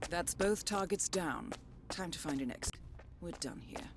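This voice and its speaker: An actress playing a composed, steely intelligence handler in a video game, speaking in a measured, dry way over music. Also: female voice, on the low side, expressive. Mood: cool, businesslike. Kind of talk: video game briefing and cinematic dialogue. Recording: music behind the voice.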